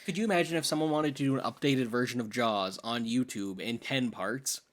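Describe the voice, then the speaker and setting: A man's voice: medium pitch, a little fast. A young adult man co-hosts a casual film podcast. He speaks in an opinionated, emphatic way, dropping slangy asides as he makes his points in loose conversation. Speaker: podcast co-host. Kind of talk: casual film discussion chat.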